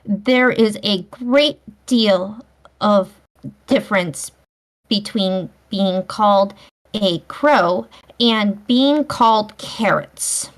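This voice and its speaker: A woman reading a storybook, voicing an earnest, dramatic schoolgirl who speaks with deliberate dignity. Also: mid-range voice. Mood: wounded dignity.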